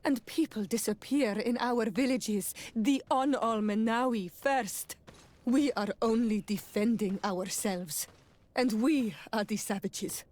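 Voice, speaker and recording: A woman's voice: fairly high pitch, slow, a little mellow. A woman playing a proud, earnest young native princess in a video game cutscene. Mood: defiant.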